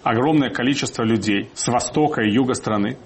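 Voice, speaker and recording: A man's voice: medium pitch, a little fast, slight background noise. A man hosting a current affairs interview in a studio, introducing the program and putting questions to his guests. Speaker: host.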